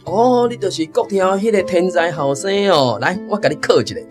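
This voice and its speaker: A woman's voice: lowish voice, animated, brisk, close to an everyday voice. A woman voicing a plain-spoken, strong village woman in a radio drama, talking bluntly to a child. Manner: blunt, few words, kindly to the child. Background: guitar music.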